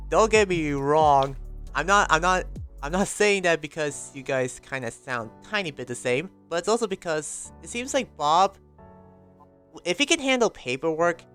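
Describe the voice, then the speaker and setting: A man's voice: on the high side, a bit bright, lightly acted. A man playing a troubled investigator in an improvised anime fantasy roleplay, casual, hesitant and rambling. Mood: hesitant, friendly.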